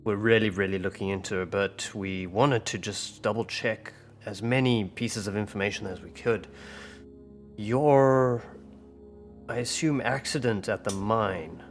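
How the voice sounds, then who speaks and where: A man's voice: fairly low pitch, unhurried, soft background music. A man playing a friendly, tactful investigator questioning someone in a tabletop roleplaying game. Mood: tactful, probing.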